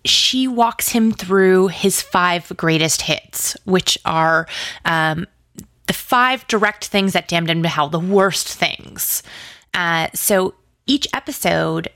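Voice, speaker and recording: A woman's voice: on the low side, a bit bright, no echo, studio quality. A woman speaking as an interview guest in casual, informal conversation, with slangy phrasing full of "like". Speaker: interview guest, audio drama writer.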